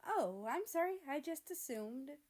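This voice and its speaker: A woman's voice: high-pitched, very animated, measured pace, darkish tone, voice pushed up. A woman voicing a perky, polite waitress in a story reading, chirpy and eager to please. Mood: apologetic. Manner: chirpy, apologetic customer-service politeness.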